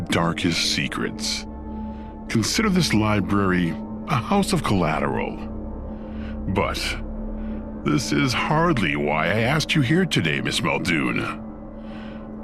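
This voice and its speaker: An actor playing a smug, flippant Satan in an audio drama, glib and slangy like a scheming boss. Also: male voice, deep voice, exaggerated voice. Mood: smug, dismissive.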